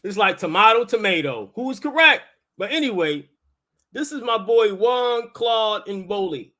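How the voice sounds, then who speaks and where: A man's voice: high voice, expressive, a little slow, pushed and bright. A man with a Baltimore accent hosting a casual talk, explaining things in an insistent, colloquial way. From Baltimore, United States. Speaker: host, linguistics teacher. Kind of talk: casual live talk with debate.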